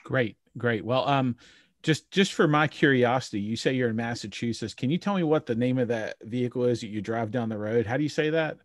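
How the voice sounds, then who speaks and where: A dry, close recording of an American man hosting a panel. His voice sits at an average pitch as he casually questions guests. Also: a little fast, dry recording. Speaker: talk show host.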